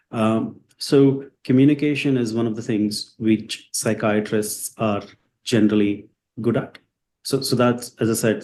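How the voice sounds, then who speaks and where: A man's voice: fairly low pitch, mellow. A man from India whose first language is Hindi, a psychiatrist answering interview questions with many fillers and restarts. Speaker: psychiatrist and company founder.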